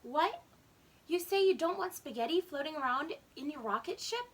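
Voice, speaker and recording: A woman voicing an eager, playful young boy alligator in a children's storybook, with an excitable manner. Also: sits high, moderate pace, distant mic.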